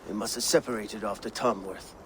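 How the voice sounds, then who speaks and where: A man's voice: fairly low pitch, steady tone, fairly quick, a bit bright, a little hiss or hum, natural voice. Man acting a blunt Viking warrior. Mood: grim. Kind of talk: dramatic video game acting.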